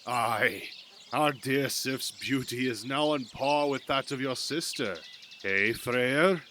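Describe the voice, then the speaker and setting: A man's voice: mid-range voice, bright, forceful tone, slight background noise. A man playing a stately, genial old king of the gods in a fantasy audio drama, speaking regally. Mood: jovial. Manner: slow, regal.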